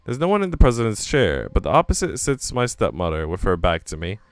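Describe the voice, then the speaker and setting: Visual novel lead: anxious young woman, dramatic inner monologue, lively intonation, smooth tone male reader. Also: on the low side, fast. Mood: reflective. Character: Nana, anxious young woman narrating her troubles. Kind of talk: visual novel first-person reading.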